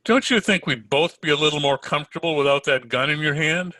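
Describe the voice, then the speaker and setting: Man playing a bright-voiced hardboiled private eye. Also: on the high side, brisk, no echo. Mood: wry, unflappable. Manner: wry, tough-talking, sardonic. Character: wisecracking hardboiled male private detective, unflappable. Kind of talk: old-time radio detective acting and narration. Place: home recording over video call.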